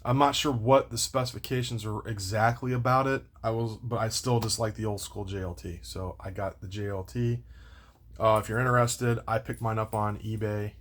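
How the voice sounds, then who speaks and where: A man's voice: lowish voice, level tone, normal speed. A man hosting a casual, unscripted unboxing and review, rambling and circling back to repeat his points. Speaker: video host doing product unboxing and review.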